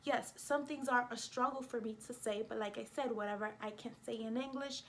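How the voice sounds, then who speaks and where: A woman with a New York accent talking casually to camera as a vlogger, in an emphatic, indignant and expressive way. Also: a little high, brisk, slight background noise. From United States.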